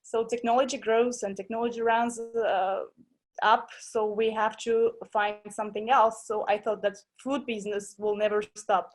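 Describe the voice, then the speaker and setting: A woman's voice: fairly high pitch, little pitch movement, moderate pace. A woman speaks as a webinar guest. She talks hesitantly, with plenty of fillers, and laughs easily as she goes. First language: Russian. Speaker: guest entrepreneur. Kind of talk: webinar interview.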